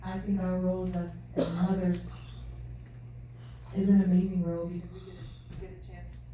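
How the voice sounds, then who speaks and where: A soft-spoken woman giving an earnest, faith-filled talk at an event, recorded from a distance in a large hall. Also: lowish voice, completely flat delivery, moderate pace. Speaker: event speaker. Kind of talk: testimony talk. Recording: distant mic, echoey hall, faint noise.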